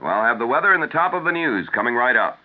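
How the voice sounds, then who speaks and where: An American man anchoring a 1970s radio newscast, reading the script in a formal, measured announcer delivery. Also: medium pitch, very animated. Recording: narrow, tinny vintage AM radio broadcast.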